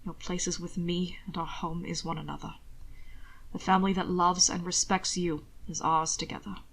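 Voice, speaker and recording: Brief: woman reading a solemn devoted man, hushed and measured, low soft voice. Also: level tone, gravelly, poor audio. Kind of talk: audiobook reading.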